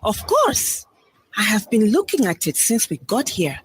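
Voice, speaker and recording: A woman's voice: mid-range voice, wildly swooping pitch, a little slow, slightly rough, no room sound, exaggerated voice. A woman playing a curious, eager shopper in a scripted radio advert.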